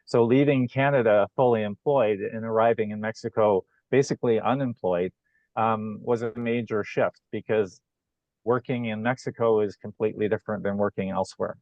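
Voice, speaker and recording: A man speaking in a measured, hesitant way with many fillers, joining a video call to talk about business. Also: on the low side, steady tone, no echo. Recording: dull lo-fi video call audio.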